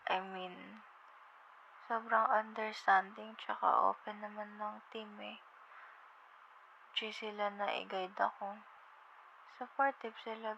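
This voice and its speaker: Drowsy slow-talking Filipina girlfriend, acted by a woman. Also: mid-range voice, gravelly, lo-fi sound, a little hiss or hum. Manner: drowsy, tender, hesitant, slips between Tagalog and English. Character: sleepy affectionate young girlfriend on a late-night phone call. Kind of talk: intimate ASMR girlfriend roleplay.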